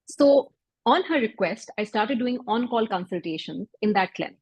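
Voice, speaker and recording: Husky-voiced Pakistani woman giving a talk. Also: a little high, lively intonation, normal speed, a little mellow, no room sound. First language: Urdu. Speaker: doctor and health startup founder. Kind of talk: event talk.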